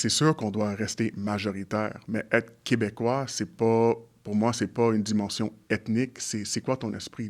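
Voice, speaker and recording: A man whose first language is English, speaking French as a guest in a conversational interview, often restarting his sentences and slipping into English phrases. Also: lowish voice. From Montreal, Quebec. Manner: halting, restarts often while searching for words. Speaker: guest, community organization leader.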